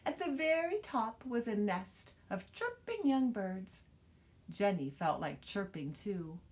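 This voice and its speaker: A woman reading a children's picture book aloud, expressively, with dramatic pauses and emphasis. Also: mid-range voice, very animated, a little mellow. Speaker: storybook reader.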